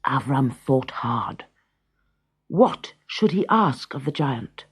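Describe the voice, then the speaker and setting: A storyteller reading a scripted children's tale in a dramatic, measured way. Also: mid-range voice, expressive, breathy edge.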